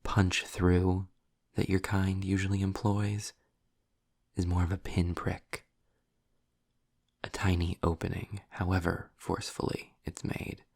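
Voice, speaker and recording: A man playing a sarcastic, impatient, erudite demon in a scripted audio roleplay, speaking in a wry, wordy way with dramatic pauses. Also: low voice, little pitch movement, gravelly, darkish tone, no room sound, exaggerated voice. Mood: explaining, intent.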